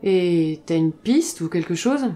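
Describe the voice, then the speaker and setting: A woman acting in an audio drama as a cynical, sharp-tongued former police worker, blunt and slangy. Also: fairly low pitch, animated, slow. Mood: dry.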